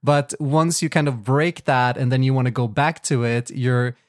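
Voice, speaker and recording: A man's voice: mid-range voice, moderate pace, no room sound, studio quality. A man whose first language is Swedish, co-hosting a tech podcast in casual chat, rambling with lots of fillers and restarts. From Sweden. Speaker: podcast co-host.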